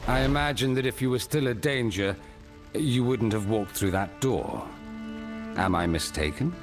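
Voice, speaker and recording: An actor playing a grave, weary male mentor in a video game, speaking in a solemn, measured, formal way over music. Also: mid-range voice, natural voice. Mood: measured, reassuring. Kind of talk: dramatic video game dialogue. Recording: music behind the voice.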